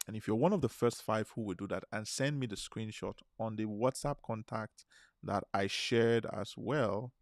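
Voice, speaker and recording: A man runs a live webinar, pressing his listeners hard and repeating phrases to drive them to act. His voice sits at an ordinary middle pitch. He is recorded close on a dry mic with no room sound. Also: a little mellow, no echo. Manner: urgent, persuasive, repeats phrases. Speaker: webinar host.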